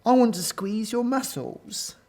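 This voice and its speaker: A man voicing fussy female gorillas with a sighing, drawn-out delivery in a children's storybook. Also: high-pitched, a little mellow, pitched above the performer's own voice. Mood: adoring. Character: female gorillas, fussy, haughty, then gushing admirers.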